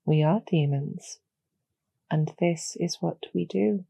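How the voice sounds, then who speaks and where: A woman playing a kind, calm demon general in a roleplay audio drama, speaking in a measured, formal and gently persuasive way. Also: lowish voice, creaky, husky, no echo, heavily acted. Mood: earnest, calm.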